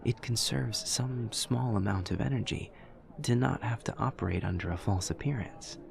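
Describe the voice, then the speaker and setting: A man playing an awkward, earnest young demon student in an audio roleplay, speaking softly in stiff, precise, literal sentences. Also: mid-range voice, vocal fry. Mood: earnest, measured. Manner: stiff, precise, literal, measured formal diction. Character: awkward, formal, earnest male demon student with horns and tail. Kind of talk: audio roleplay, scripted monologue to a listener.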